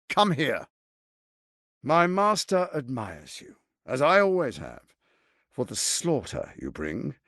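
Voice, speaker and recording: A male actor playing a suave, scheming, power-hungry lord in a video game, with a theatrical, measured, silky politician's delivery, ruthless and charming. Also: on the high side, vocal fry, no room sound, clean studio sound, heavily acted. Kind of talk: dramatic video game voice acting.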